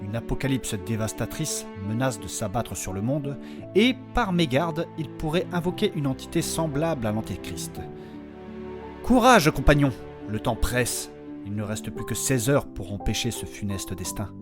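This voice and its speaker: A man's voice: medium pitch, lively intonation, normal speed. A man narrating a fantasy audio drama recap in a portentous, theatrical style. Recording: loud music under the voice. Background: guitar music.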